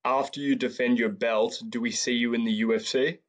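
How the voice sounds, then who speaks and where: A man's voice: medium pitch, fairly quick. Male interviewer, medium voice, laid-back and slangy. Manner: relaxed, slangy, says "bro". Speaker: podcast host. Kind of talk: casual interview questions.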